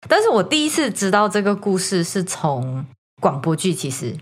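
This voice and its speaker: A woman's voice: medium pitch, sing-song, moderate pace. A woman podcast host telling a horror story in a casual, chatty way, checking in with her listeners and dropping in English words.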